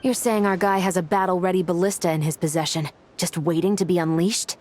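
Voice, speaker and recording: A woman playing a cool, resolute law enforcement investigator in a video game, measured and serious. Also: fairly low pitch, steady tone, background noise, lightly acted. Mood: wary.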